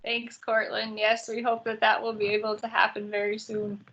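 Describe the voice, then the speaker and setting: High bright woman on muffled call. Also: monotone. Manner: brisk roll-call introductions. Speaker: orientation host. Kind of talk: online orientation session. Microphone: video call. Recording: muffled video call audio.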